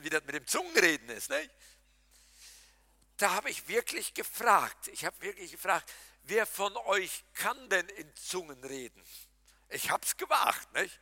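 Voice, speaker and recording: A man preaching with a bright, forceful voice that swings widely in pitch as he addresses the congregation. He jokes with them and challenges them directly. The sound is dry and close, with no room echo. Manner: animated, talks to the congregation directly, jokes and laughs. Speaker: pastor. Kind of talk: lively Pentecostal sermon.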